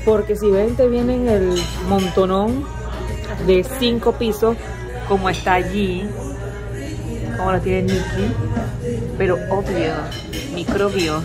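A woman's voice: mid-range voice, steady tone, slow, long pauses, over music. A woman in her thirties chatting casually to the camera as a vlogger while out and about. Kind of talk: casual vlog chat and commentary. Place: busy restaurant and outdoor shopping promenade.

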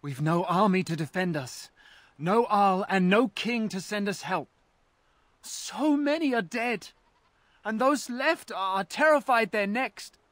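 An actor playing a frightened, humble young village archer in a fantasy game, anxious and deferential. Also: male voice, high voice, expressive, slow pace, fairly bright tone, no echo, heavily acted. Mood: desperate, fearful. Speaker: game character. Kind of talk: dramatic fantasy game acting.

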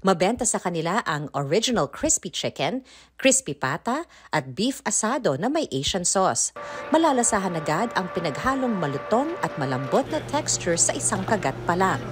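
A Filipino woman delivers a scripted news report as a field reporter's voice-over. Her medium-pitched voice moves in lively swings, with music playing clearly behind it. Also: a little fast. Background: background music under voice-over.

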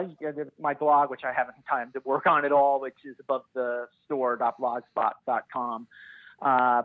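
A man speaking as a podcast guest in casual analysis, hesitantly thinking out loud as he goes. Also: medium pitch, no echo, narrow, tinny audio.

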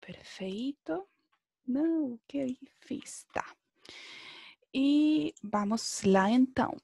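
High-voiced woman teaching an online class. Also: animated, slow pace, vocal fry, a little mellow, slightly rough, no echo. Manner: encouraging, checking with tag questions, prompting pupils. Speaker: language teacher. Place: indoor room, online video class.

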